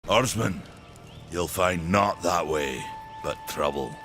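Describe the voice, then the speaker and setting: An actor playing a wry old warrior standing guard in a fantasy video game, talking in a folksy, mocking way. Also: male voice, sits low, lively intonation, takes it slowly, a bit bright, soft background music, full character voice. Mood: wry warning. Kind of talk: video game cutscene acting.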